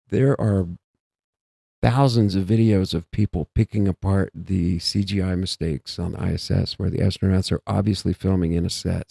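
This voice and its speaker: A man's voice: low voice, gravelly, dark tone, slightly rough, no room sound. A man hosting a casual monologue, rambling and interrupting himself as he muses aloud, recorded close and dry. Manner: meandering, self-interrupting, musing aloud. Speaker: host.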